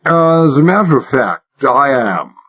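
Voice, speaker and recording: A man's voice: medium pitch, big pitch swings, measured pace, fairly bright tone, husky, pitched above the performer's own voice, full character voice. A man voicing a male agent who fakes an exaggerated upper-class English accent, talking in a fussy, chattering, mock-polite way, on a dull lo-fi recording. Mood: affected. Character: male undercover agent faking a posh English secretary.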